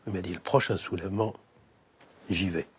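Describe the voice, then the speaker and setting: Older man, husky voice, low pitch, halting, interview testimony. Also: fairly even intonation. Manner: halting, many fillers.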